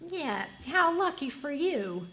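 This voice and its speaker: A woman's voice: high voice, big pitch swings, slow, pushed and bright, exaggerated voice. A woman playing a prim, indignant older busybody in a radio drama, haughty and disapproving. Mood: snide, brisk. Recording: noisy live stage recording.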